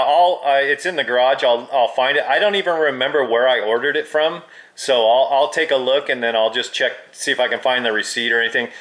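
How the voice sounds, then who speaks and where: A man's voice: medium pitch, fairly bright tone. A man having a casual hobbyist chat as a radio operator, heard through a two-way radio. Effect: two-way radio transmission. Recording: crackly two-way radio audio.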